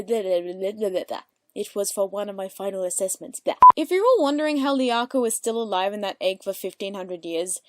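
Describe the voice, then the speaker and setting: A woman's voice: mid-range voice, fairly bright tone, slight background noise. A woman in her twenties with an Australian accent, giving casual explanatory commentary as the creator of an audio drama.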